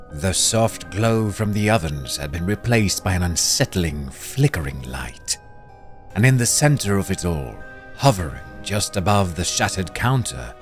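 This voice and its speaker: A male narrator with a low, deep voice tells a spooky story slowly and dramatically, his pitch rising and falling with the tale. Clear music plays underneath the whole time. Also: darkish tone. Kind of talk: scripted audiobook narration. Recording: narration over music bed.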